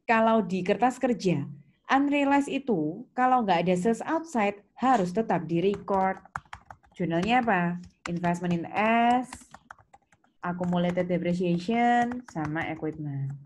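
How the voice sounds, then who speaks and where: A woman's voice: mid-range voice, measured pace, darkish tone. A woman with an Indonesian accent teaching step by step like a lecturer, in a didactic way with questions and reminders. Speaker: accounting lecturer. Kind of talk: step-by-step lesson with worked examples. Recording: clear background noise, keyboard clicks.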